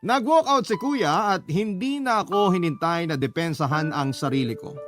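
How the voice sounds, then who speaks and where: A Filipino man with a slightly high voice narrates a heartfelt letter in the first person at a measured, steady pace. Music plays clearly beneath his reading. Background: clear music underneath.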